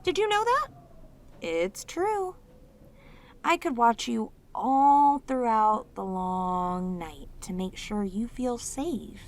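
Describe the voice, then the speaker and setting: Girl doing a sweet clingy demon lady, high emotive voice, slow and tender, audio roleplay. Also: expressive. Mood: devoted, eager. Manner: tender, hesitant, slightly stammering, clingy, emotive. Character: lonely, sweet, possessive female demon, sealed away, obsessively devoted. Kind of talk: roleplay audio drama, intimate monologue addressed to listener.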